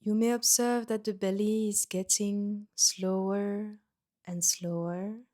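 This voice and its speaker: Slow-paced woman guiding a meditation. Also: medium pitch, flat delivery. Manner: gentle, soothing, unhurried instruction with pauses. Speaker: yoga nidra guide. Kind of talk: guided meditation.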